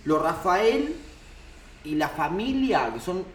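Higher-voiced Argentine man in an echoey room. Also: sing-song, slow pace, slight background noise. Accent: Rioplatense Argentine. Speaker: interview guest. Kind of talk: casual chat, personal anecdotes. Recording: roomy, echoey sound.

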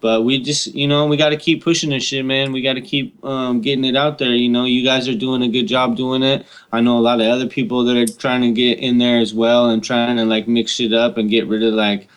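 A man's voice: mid-range voice, level tone, a little fast, hardly any pauses, clear, resonant tone, poor audio. A man chatting casually as a podcast guest, with heavy slang and casual swearing. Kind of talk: casual chat.

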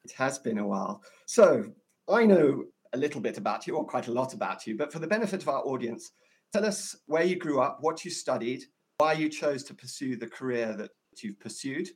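A man's voice: medium pitch, expressive, a little fast. A man hosting a podcast interview, introducing his guest in an enthusiastic, effusive way, speaking from a hotel room. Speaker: podcast host.

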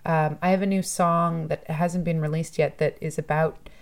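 A woman's voice: low-pitched, steady tone, normal speed. Chatty, thoughtful woman from Toronto. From Toronto, Canada. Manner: thoughtful, chatty, laughs often. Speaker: musician and guest. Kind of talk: casual podcast interview.

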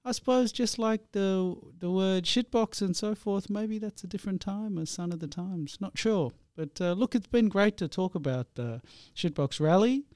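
A man's voice: high-pitched, normal speed, hardly any pauses, a little mellow, clear, resonant tone, no room sound, clean studio sound. A man originally from India who hosts the interview, chatting in a friendly, rambling way with many fillers. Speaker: host.

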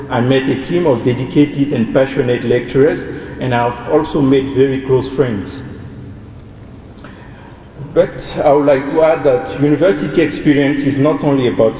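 A young man from Ivory Coast speaks, formal and earnest, in a mellow, darker tone. He sounds far from the mic in a large hall with a long reverb tail. The sound is dull, with a faint background hum. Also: mid-range voice. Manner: earnest, measured, with small restarts. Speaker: student speaker. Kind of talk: formal welcome speech.

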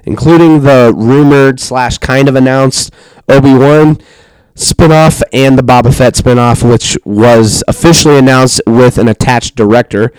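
Young male podcast host, laid-back chat, crisp clear voice, no room echo. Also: medium pitch, steady tone, measured pace, few pauses, a little mellow. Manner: laid-back, laughs often, swears casually. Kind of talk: casual chat.

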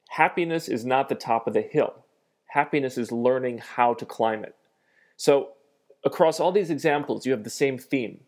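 A man with a nasal voice hosting a solo podcast, thinking aloud in a reflective, self-deprecating way with frequent pauses. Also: medium pitch, creaky, darkish tone. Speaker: podcast host.

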